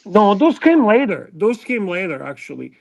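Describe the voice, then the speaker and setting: A man with a Serbian accent chatting casually about watches on a livestream, halting and restarting often. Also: sits high, sing-song, vocal fry, lo-fi sound, slight background noise. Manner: halting, lots of restarts and filler.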